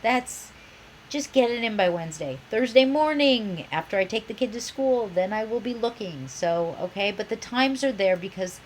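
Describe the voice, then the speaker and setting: A woman's voice: mid-range voice, lively intonation, moderate pace, slight background noise, low-quality recording. A woman professor with a cold and a slightly nasal voice, giving a chatty, enthusiastic course introduction. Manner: chatty, enthusiastic, self-described geek.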